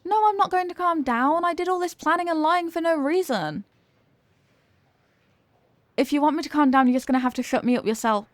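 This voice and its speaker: A woman's voice: high voice, fairly quick, pauses often, dry recording, close to an everyday voice. A young woman acting a flustered, coy popular cheerleader girl with a secret crush, in an intimate ASMR romance roleplay. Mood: indignant. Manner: coy, flustered, dramatic, with hesitant stammers.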